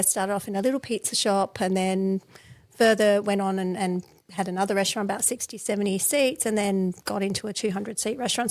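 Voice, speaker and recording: A chatty woman in her forties tells her story as a podcast guest. She talks loosely and often stops to laugh, and her voice sits at a medium, everyday pitch. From born in England, raised in Australia. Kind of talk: casual interview chat.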